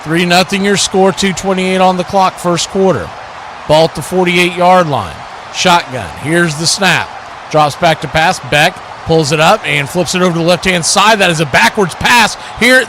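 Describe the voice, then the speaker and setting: A man's voice: sits high, sharp tone, slight background noise. A man giving live American football play-by-play in clipped running description that lifts on the action. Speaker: play-by-play sports commentator, watch party host.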